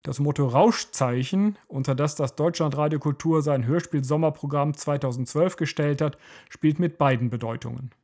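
A man narrating a scripted radio essay in a measured, lecturing way. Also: fairly high pitch, darkish tone.

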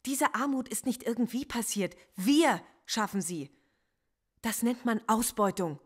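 An actress playing a defiant philosophy professor under police interrogation in a radio drama, impassioned and lecturing. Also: female voice, on the high side, lively intonation, unhurried. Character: female philosophy professor suspect, defiant, intense.

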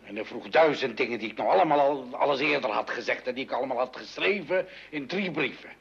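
A man's voice: mid-range voice, lively intonation, fast, creaky, fairly bright tone, husky, exaggerated voice. A man acting a short-tempered, sheepish middle-aged father in a radio play, stammering and repeating himself when flustered. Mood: sheepish, defensive. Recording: dull lo-fi radio recording, faint noise.

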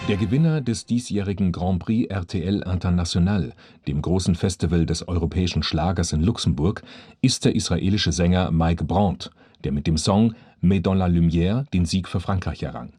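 A man's voice: low-pitched, normal speed, dark tone, breathy edge, no room sound, clean studio sound, faint music behind. A man reading a formal news report as an announcer.

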